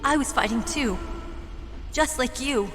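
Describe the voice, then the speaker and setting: A woman's voice: high voice, a little slow. A woman playing a brave young female soldier in a video game, speaking with earnest emotion. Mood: defiant, tender. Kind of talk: dramatic video game voice acting. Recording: long reverb, loud music over voice.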